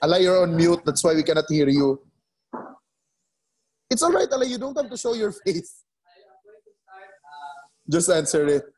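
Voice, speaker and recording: Brief: higher-pitched male teacher, patient and coaxing, repeats himself, video-call audio. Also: expressive, moderate pace, long pauses, darkish tone, slightly rough, poor audio. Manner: coaxing, repeats names and words. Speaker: English teacher. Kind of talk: online class discussion.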